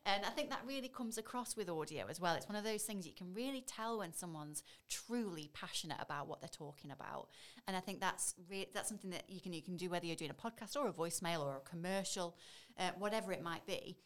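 A woman in her thirties with a deep, husky voice, chatting as a voiceover artist on a podcast, bubbly and animated. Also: fast. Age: 30s. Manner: bubbly and animated, laughs often. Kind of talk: casual podcast interview.

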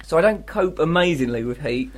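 Man, English accent, mid-pitched, radio co-host, chatty comedic banter, self-deprecating grumbles, studio setting. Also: expressive, fairly quick. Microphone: studio microphone.

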